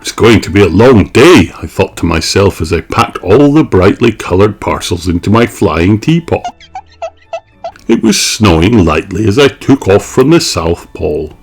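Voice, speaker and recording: A man's voice: sits low, big pitch swings, measured pace, creaky, breathy edge. A man reading a children's storybook aloud expressively, with theatrical emphasis on key words. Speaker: storybook reader.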